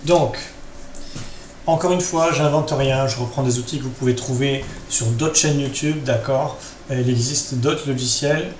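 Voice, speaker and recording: Man, tutorial host, casual improvised delivery, medium pitch, roomy echo, faint background noise. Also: measured pace. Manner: improvised, thinking aloud with frequent tag questions. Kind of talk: casual screen-recorded tutorial narration.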